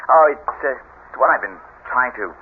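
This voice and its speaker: An actor playing an evasive, harried husband in a 1970s radio drama, agitated and halting as he placates. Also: medium pitch, lively intonation, close to an everyday voice. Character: evasive, harried American husband hiding a secret. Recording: dull, noisy 1970s radio recording.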